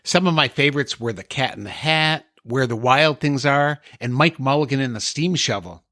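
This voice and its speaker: A man's voice: medium pitch, moderate pace, fairly bright tone, dry recording, studio quality. A man hosting a podcast, delivering scripted comic dialogue and storybook reading. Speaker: podcast host.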